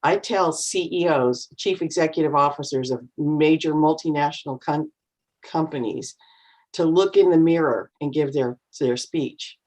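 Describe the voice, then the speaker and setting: Low-voiced woman coaching an online English class. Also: moderate pace, darkish tone, rough recording. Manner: encouraging, explanatory, acts out nervous voices. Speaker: co-host and speaking coach. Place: video call.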